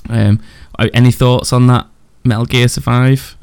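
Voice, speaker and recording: A man hosting a casual gaming podcast, chatty and rambling, quick to laugh. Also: medium pitch, little pitch movement, darkish tone, clear, resonant tone. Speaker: podcast host.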